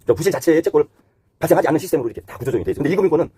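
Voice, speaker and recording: A man delivers off-the-cuff commentary at a very rapid clip. His voice is rough and husky, and his pitch moves around in a lively way as he explains things point by point, switching between polite and blunt phrasing. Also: dry recording. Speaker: host giving economic commentary. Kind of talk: unscripted explanatory commentary on economy and finance.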